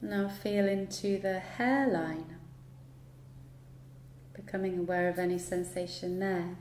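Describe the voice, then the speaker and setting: Woman, yoga nidra guide, soft mellow tone, soothing, unhurried, long pauses, roomy echo. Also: fairly low pitch, fairly even intonation. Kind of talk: guided relaxation meditation. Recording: roomy echo, light background noise.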